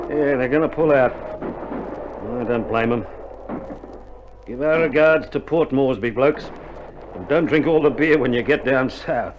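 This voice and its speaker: An actor playing a weary but hopeful, stoical Australian army medic in a dramatised wartime radio play, with a laconic, slangy delivery. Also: male voice, medium pitch, lightly acted. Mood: resigned. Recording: muffled 1960s vinyl record, music behind.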